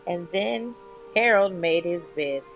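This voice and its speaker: A woman reading a children's picture book at bedtime in a measured, expressive storytelling manner. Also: on the low side, soft background music, poor audio. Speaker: storyteller, channel host.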